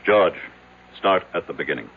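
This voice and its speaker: An actor playing a ruthless wealthy business tycoon in an old radio drama, brusque, authoritative and demanding. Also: male voice, low voice, lively intonation, moderate pace, husky. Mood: insistent. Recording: noisy, narrow-band old radio recording.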